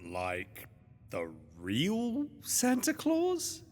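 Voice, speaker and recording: Wry sidekick, reverberant. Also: male voice, very high-pitched, huge pitch swings, very slow, a little mellow, soft background music, heavily acted. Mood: wry, hesitant. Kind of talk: audio drama dialogue.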